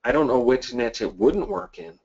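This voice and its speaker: Upbeat Canadian-born man pitching software. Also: big pitch swings, moderate pace, vocal fry, breathy edge. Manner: casual, upbeat pitch with many fillers. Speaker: webinar host. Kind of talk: live sales webinar, reading chat questions. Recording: dull lo-fi audio.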